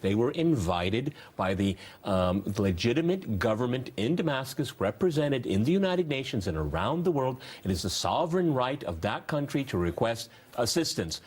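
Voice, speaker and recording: A man's voice: medium pitch, big pitch swings, normal speed, few pauses, a little mellow, husky. A man hosting and moderating a panel discussion in an emphatic, argumentative way. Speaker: talk show host and moderator.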